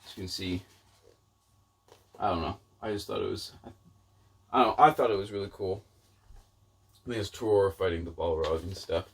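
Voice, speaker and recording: Low-pitched man chatting casually about his hobby. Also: normal speed, long pauses, breathy edge. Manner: rambling, hedging, often doubles back mid-thought. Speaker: book vlogger and channel host. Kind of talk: casual chat to camera.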